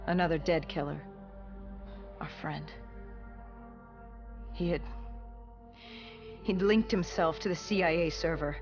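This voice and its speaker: An actor playing a grim, battle-worn soldier in a video game, recounting an apocalypse in a grave, halting, deliberate way. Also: medium pitch, muffled recording, natural voice. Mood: grim, haunted. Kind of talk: dramatic cinematic narration.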